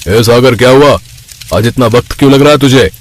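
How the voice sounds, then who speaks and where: A man's voice: medium pitch, fairly quick, lightly acted. A man voicing a harsh, suspicious restaurant boss who scolds his worker in a cartoon story. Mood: angry, scolding. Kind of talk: Hindi cartoon story acting.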